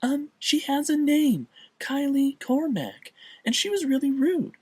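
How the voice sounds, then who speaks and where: A woman's voice: high-pitched, very animated, measured pace, a little mellow, dry recording. A woman playing a sassy, indignant young sister in an audio drama, bickering in an emphatic, scolding way. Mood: indignant, gossipy.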